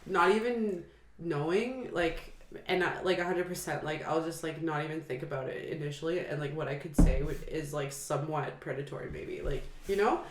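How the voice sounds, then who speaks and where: A woman's voice: low voice, normal speed, very noisy. A woman in her twenties chatting casually as co-host, rambling with many fillers and frequent laughter. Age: 20s. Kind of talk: casual chat. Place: shared house, indoors. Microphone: table microphone. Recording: echoey room, off-mic.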